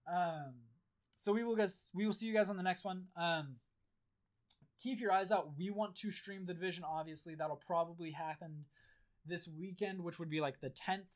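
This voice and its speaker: A hoarse man with a slightly high voice hosts a casual gaming podcast. He rambles and swears freely, and his talk is full of fillers and restarts. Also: normal speed. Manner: rambling, filler-heavy, blunt and profane. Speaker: podcast host. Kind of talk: casual chat.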